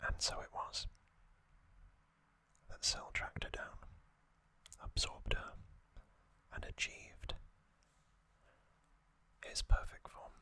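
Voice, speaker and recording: A man whispering an ASMR recap as host, hushed and halting, in short, drawn-out phrases.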